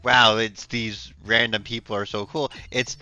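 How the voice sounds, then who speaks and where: A man with a hoarse, nearly gone voice, chatting casually and effusively on a game livestream. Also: fairly low pitch, flat delivery, measured pace, pushed and bright, highs cut off. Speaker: game streamer.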